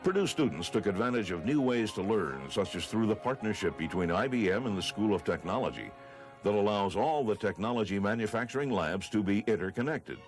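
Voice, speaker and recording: A man's voice: fairly low pitch, animated, a little fast. An American man reading scripted narration for a newsreel. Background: music bed.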